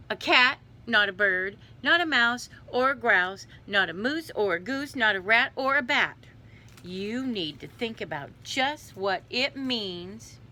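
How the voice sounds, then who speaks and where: A stern storybook mother cat, voiced by a woman reader, bright and forceful. She scolds emphatically, stretching her words slowly and swinging her pitch for effect. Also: a little hiss or hum.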